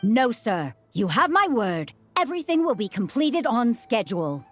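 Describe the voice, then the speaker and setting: An actress playing an eager, obedient subordinate in a video game, formal and deferential. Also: female voice, on the high side, sing-song, normal speed, faint music behind. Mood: dutiful.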